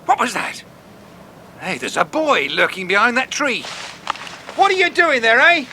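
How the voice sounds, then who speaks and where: An actor playing a suspicious, bullying crook, sharp and demanding, in an audiobook dramatisation. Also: male voice, very high voice, sing-song, a little slow, a little hiss or hum, exaggerated voice. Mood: startled, threatening. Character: gruff, suspicious adult male crook, bullying and edgy.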